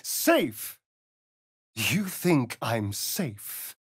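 Snarky vampire rogue for a fantasy game, drawly and dramatic, super expressive, dry close recording. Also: male voice, medium pitch, drawn-out words, slightly rough, no echo, heavily acted. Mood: tense. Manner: arch, drawling, theatrical. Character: sardonic, theatrical, vain male vampire rogue, silky and wounded. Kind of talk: fantasy video game voice acting.

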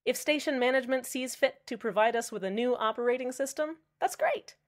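A woman's voice: high voice, a little fast, lightly acted. A woman playing a smiling, menacingly cheerful male radio host in a radio drama, sweetly condescending. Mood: sweetly upbeat.

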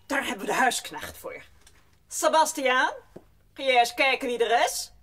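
An actress playing a strict, stiff governess in an audio drama, with a stern, haughty, commanding manner. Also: female voice, sits high, animated, normal speed, a bit bright. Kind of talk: Dutch audio drama acting.

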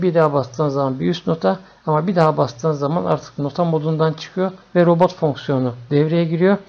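A man narrating an informal electronics tutorial in an unhurried, matter-of-fact way. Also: a little high, creaky, a little mellow. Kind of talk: informal explanatory screencast narration. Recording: dull, lo-fi screencast audio.

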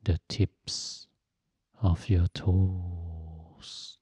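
Very slow, low-voiced man guiding meditation. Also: little pitch movement, slightly rough, no echo. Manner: gentle, instructive, phrase by phrase with long pauses. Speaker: Buddhist monk and meditation teacher. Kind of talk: guided meditation.

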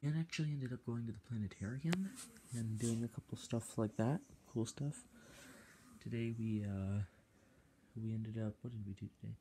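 A woman's voice: rumbling low, moderate pace, warm tone. A young woman vlogging casually on her phone, chatting about her trip and a stray dog that wandered into the yard. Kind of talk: casual vlog chat. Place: porch and house, outdoors and in. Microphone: handheld phone camera. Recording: noisy phone audio outdoors.

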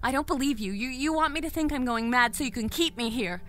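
An actress playing a distraught but defiant captive woman in an audio drama, halting and pleading with raw emotion. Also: sits high, a bit bright, faint music behind.

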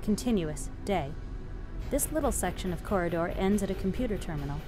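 A woman's voice: fairly low pitch, expressive, fairly quick. A woman narrating screenplay stage directions as scripted narration. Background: music.

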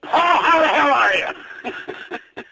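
A man talking casually on a rough telephone line. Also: very high-pitched, expressive, drawn-out words, sharp tone. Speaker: person answering prank calls. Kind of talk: casual phone talk.

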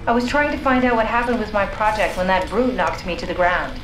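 A woman acting a brisk, matter-of-fact scientist living as a robot in a video game. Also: medium pitch, roomy sound, noisy background, lightly acted. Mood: blunt.

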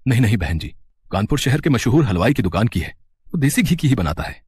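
A man voicing a humble, self-sacrificing middle-aged father in a family story, with a husky voice. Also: mid-range voice, sing-song, fairly quick, darkish tone, no room sound. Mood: polite.

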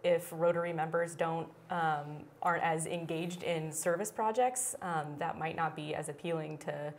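A woman's voice: low voice, steady tone, moderate pace, a little hiss or hum. A woman around thirty answering interview questions in a TV studio, chatty and full of "um" fillers. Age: 30s. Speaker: interview guest. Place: small TV studio set.

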